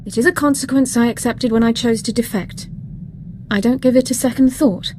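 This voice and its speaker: A woman's voice: on the high side, brisk, a little mellow, close to an everyday voice. Formal, composed female military officer played by an actress, background noise. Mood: resolute. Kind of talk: video game dialogue acting.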